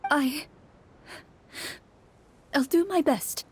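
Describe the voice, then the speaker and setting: A woman's voice: high voice, drawn-out words, a little hiss or hum. A woman playing a game master who narrates a tabletop game and role-plays a worried townswoman. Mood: hesitant, troubled.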